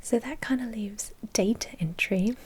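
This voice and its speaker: A woman speaks in a hushed, breathy whisper with a mellow, dark tone. She is doing an ASMR roleplay as a courteous vampire manager, businesslike and gently matter-of-fact, with soft hesitations as she explains. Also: on the high side, measured pace. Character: female vampire blood bank manager, polite and businesslike.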